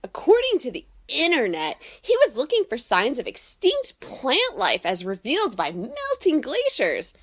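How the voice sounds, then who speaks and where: A woman playing an eager, excitable young female student assistant in an audio drama, gushing and keen to please. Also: sits high, measured pace, few pauses, full character voice. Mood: animated storytelling.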